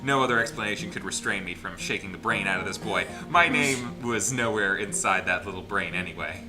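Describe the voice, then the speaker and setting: A man's voice: fairly low pitch, brisk. A man in a casual let's play, reading visual novel text aloud and joking as he goes. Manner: joking, animated, breaks into laughter. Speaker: gaming channel player reading game aloud. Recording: music behind the voice. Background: game music.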